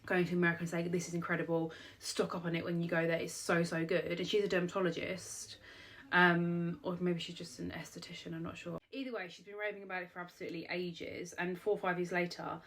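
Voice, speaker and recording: A young woman with a British accent talks casually to camera, rambling through her purchases with plenty of fillers. She has a cold, so her voice sounds a little nasal and bunged up. Her voice sits slightly on the low side for a woman. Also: level tone, fast. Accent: British English. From England. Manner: chatty, rambling, many "um" and "like". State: blocked nose from a cold. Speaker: vlogger. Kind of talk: casual haul vlog talk to camera.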